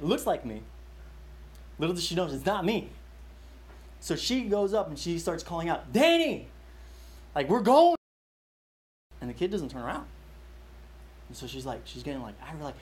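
A man's voice: a little high, a little hiss or hum. A young man leading a Bible study, telling a personal story in a casual, chatty way with many fillers and restarts.

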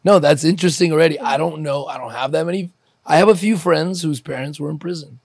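A man from Ukraine hosting a casual interview, chatty and quick to interject with jokes. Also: fairly high pitch, creaky, no room sound, clean studio sound. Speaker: podcast host.

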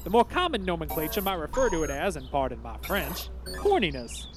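A man's voice: fairly high pitch, very animated, a little fast, over music. A man playing a nerdy young student inventor in a comedy cartoon, eagerly polite, with a formal, wordy, butler-like manner. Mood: pedantic, deferential.

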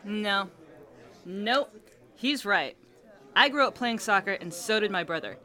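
A woman's voice: mid-range voice, expressive, a little slow, pushed and bright. A woman playing a feisty, stubborn young soccer supporter in an audio drama, blunt and combative. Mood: earnest, resentful.

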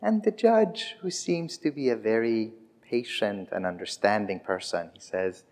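A man preaching a humorous, animated sermon in English, which is not his first language. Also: fairly low pitch, a little slow, in a large hall. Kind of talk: sermon storytelling.

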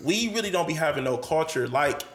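A man's voice: a little high, lively intonation, fairly quick, roomy sound, crowd noise. A man from Columbus, Ohio, co-hosting a casual chat, slangy and profane, full of "like" and "you know".

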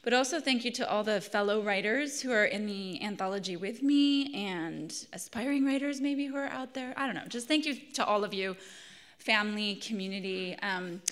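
A woman's voice: medium pitch, a bit bright. Woman, thirties, scratchy voice, earnest, fillers and restarts, live reading. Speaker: writer reading her essay at a public event. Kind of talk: live reading with spoken introduction. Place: arts center event hall.

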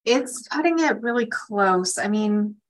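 A woman's voice: medium pitch, lively intonation, measured pace. Direct woman advising callers on a call. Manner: direct, explanatory. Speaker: immigration attorney hosting a call-in session. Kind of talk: conversational legal advice to callers. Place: conference call.

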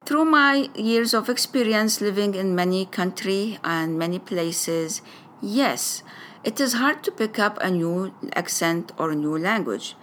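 A woman's voice: fairly low pitch, a bit bright, a little hiss or hum. A woman from Iraq, a native Arabic speaker with an Arabic accent, sharing her background with a school audience in careful, hesitant English. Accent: Iraqi Arabic. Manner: careful, deliberate phrasing with frequent hesitations. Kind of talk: personal testimony to a school audience.

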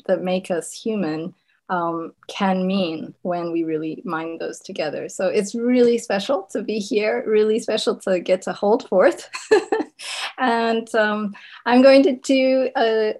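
Woman poet from Zimbabwe, first language Shona. Unhurried, reflective reading voice. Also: mid-range voice, a little mellow. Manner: slow, thoughtful, with many ums. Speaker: poet and professor giving a reading. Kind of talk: poetry reading with talk about the poems.

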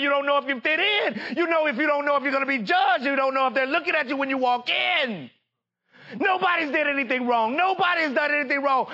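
An American man preaching a fervent sermon in an emphatic, repetitive call-and-response manner, moving between confiding talk and impassioned shouting. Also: extremely high pitch, highs cut off.

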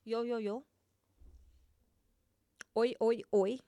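A woman's voice: on the high side, fairly even intonation, very slow, dark tone, dry recording. A woman hosting a casual comedic show, playful and teasing, trying on mock accents. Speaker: show host. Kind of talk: casual comedic live chat.

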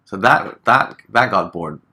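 A man from Hong Kong, a guest chatting casually in an interview, relaxed and full of fillers, laughing often. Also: low voice, measured pace, breathy edge.

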